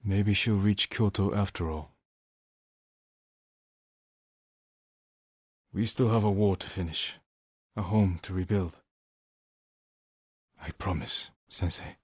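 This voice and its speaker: An actor playing a grave, resolute samurai warrior in a video game, speaking in measured, solemn, terse lines with a husky voice. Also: male voice, deep voice, no echo, clean studio sound. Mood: low, wary. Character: stoic, honour-bound samurai warrior, adult man, grave and resolute. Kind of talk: dramatic scripted game dialogue.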